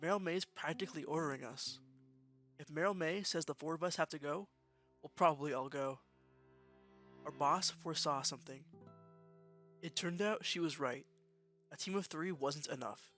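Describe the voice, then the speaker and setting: A man playing a matter-of-fact young student gofer in a gang, narrating in the first person in a deliberate, slangy way for an anime-style fan dub. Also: on the high side, a bit bright, lightly acted. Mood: ominous, reflective. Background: music with guitar.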